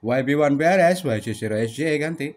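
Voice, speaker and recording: A man's voice: a little high, expressive, fairly quick, very noisy. A man from Surabaya chatting casually over ham radio, with lots of fillers, ending each turn with a sign-off word. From Surabaya, Indonesia. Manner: chatty, many fillers, ends turns with "ganti". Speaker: ham radio operator and channel host.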